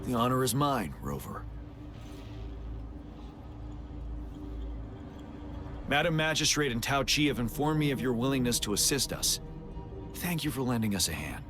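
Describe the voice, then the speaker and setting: A man playing a composed, dutiful army general in a game, speaking in a formal, measured, solemn way. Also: medium pitch, big pitch swings, over music, natural voice. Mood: courteous, formal. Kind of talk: English game cutscene voice acting.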